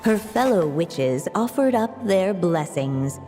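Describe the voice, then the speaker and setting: A woman playing a composed storybook narrator in a video game, formal and measured with a wry, teasing edge. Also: lowish voice, lively intonation, mellow. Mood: solemn. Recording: long reverb over music.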